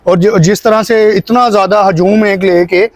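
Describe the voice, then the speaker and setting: A man's voice: high-pitched, steady tone, a little slow, dry recording. A man with a Pakistani accent hosting a conversation and earnestly advising viewers, pleading and often checking in with short tags. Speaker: YouTube channel host.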